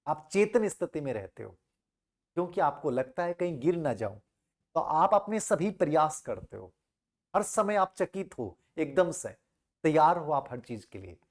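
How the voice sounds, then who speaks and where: A man's voice: a little high, very animated, measured pace. A man telling a moral story as a teacher, emphatic, repeating phrases and asking rhetorical questions. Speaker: teacher and motivational speaker.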